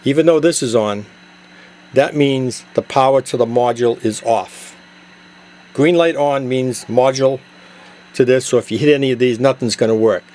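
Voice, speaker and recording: A man doing a casual hands-on product demo, explaining it step by step in a halting, improvised way. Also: mid-range voice, a little mellow. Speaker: product demonstrator and seller. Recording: clear background noise, radio chatter. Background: CB radio chatter and noise.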